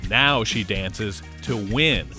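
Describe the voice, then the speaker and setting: A man narrating a scripted TV news feature in a lively storytelling way. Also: fairly low pitch, slow. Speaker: reporter, narrator. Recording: voice-over over music.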